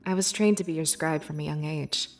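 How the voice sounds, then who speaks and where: A woman playing a young, devoted beast-folk scribe in a fantasy video game, with earnest, measured and expressive delivery. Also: low voice, creaky, soft background music. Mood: explanatory, friendly. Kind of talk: scripted video game character dialogue.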